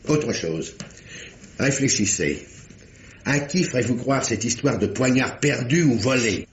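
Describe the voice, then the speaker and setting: A man playing a stern examining magistrate in an old-style French radio mystery, formal, probing and deliberate. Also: mid-range voice, expressive, close to an everyday voice. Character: stern examining magistrate, paternal uncle, insistent and measured.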